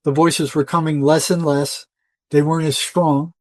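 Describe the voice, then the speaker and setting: A man's voice: fairly high pitch, little pitch movement, moderate pace, a little mellow, no echo. An older man talking casually as an interview guest, telling rambling stories in colloquial words and voicing quoted dialogue. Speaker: interview guest, retired psychotherapist. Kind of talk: conversational storytelling, casual interview.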